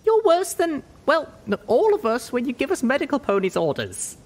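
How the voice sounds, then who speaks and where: Man doing an exasperated pony girl, very high. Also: wildly swooping pitch, normal speed, a little mellow, heavily acted. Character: exasperated young female pony, nagging a friend. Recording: roomy echo, audible noise.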